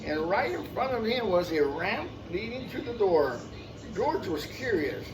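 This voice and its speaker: A man's voice: high-pitched, sing-song, measured pace, music in the background. A man reading a children's picture book aloud expressively, adding casual asides and repeating phrases for young listeners. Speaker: storyteller. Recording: noisy, picked up from a distance.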